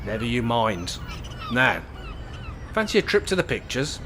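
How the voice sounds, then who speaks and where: Radio play: cheeky, cocksure older male rascal male actor, mid-pitched, dry teasing delivery. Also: very animated, measured pace, a bit bright, slight background noise. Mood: cocky, mischievous. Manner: wry, teasing, feigned innocence. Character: mischievous, cocky older male care-home resident in a wheelchair. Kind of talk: amateur radio play acting.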